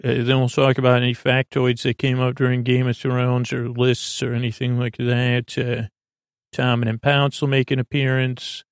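A man hosting a sleep podcast in a halting, rambling monologue, full of stammers and false starts, with a deadpan whimsy. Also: mid-range voice, smooth, no room sound, studio quality. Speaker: podcast host.

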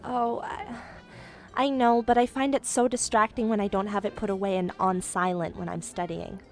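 A woman's voice: medium pitch, steady tone, normal speed, a little mellow, faint music behind, lightly acted. A woman playing an effusive, apologetic young student on a date in an audio drama, repeating her words for emphasis. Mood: flustered, apologetic.

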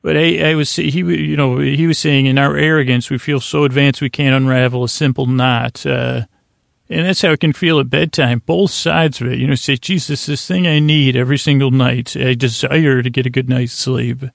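A man hosting a bedtime sleep podcast, rambling in a halting, stammering way and often trailing off mid-thought. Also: mid-range voice, fairly even intonation, vocal fry, no echo, studio quality. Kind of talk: rambling, meandering bedtime monologue.

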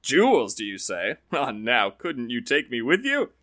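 A man's voice: medium pitch, huge pitch swings, a little slow, sharp tone, exaggerated voice. A man voicing a gullible, greedy farmer who talks eagerly and enviously.

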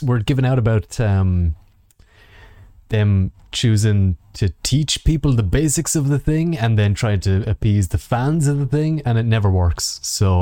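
A man talks casually as a podcast host, his tone swinging freely up and down over a mellow timbre. The delivery is sardonic and loose with curse words, and the sound is close and dry. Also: lowish voice, no room sound. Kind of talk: casual chat about games and films.

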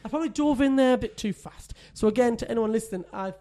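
A man's voice: fast talker, mellow. A man, a podcast co-host telling a parenting story in casual chat, often laughing. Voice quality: says he has a deep voice.

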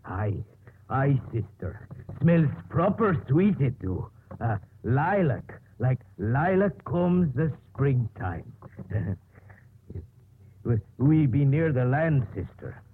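Simple devoted country man, halting, swooping pitch, noisy vintage radio recording. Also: big pitch swings, narrow, tinny audio. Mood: simple, tender. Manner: halting, speaks of himself in third person. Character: simple, devoted rustic English handyman. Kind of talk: 1950s radio drama acting.

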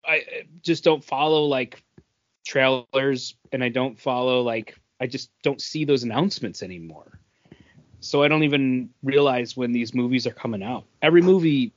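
A man of medium pitch chats casually as a podcast co-host. His delivery is informal and conversational, captured on a somewhat dull, low-fidelity recording. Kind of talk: casual chat. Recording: dull, lo-fi audio.

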